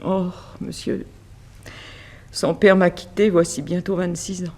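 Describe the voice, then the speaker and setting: A woman's voice: lowish voice, little pitch movement, a little slow, a little mellow. An actress playing a bereaved middle-aged mother who runs a fashion house, formal and sorrowful in 1960s French radio mystery style. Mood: nostalgic, sad.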